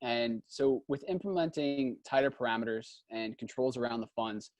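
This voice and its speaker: Man, 20s, startup CEO, upbeat, mid pitch, dry close sound. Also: normal speed, dry recording. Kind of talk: casual panel introduction.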